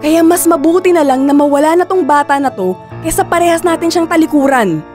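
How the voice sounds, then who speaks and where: A desperate young Filipino woman in a radio drama. Her voice is very high and insistent, stubborn in every line. Faint music sits behind it. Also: animated, a little fast, a little mellow. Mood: desperate, heated. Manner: insistent, pleading, defensive.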